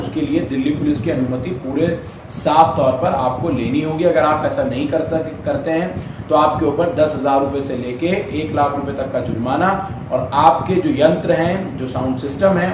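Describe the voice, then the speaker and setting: Echoey, distant male news presenter. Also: fairly high pitch. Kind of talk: news report with commentary. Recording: echoey, distant mic, noisy background.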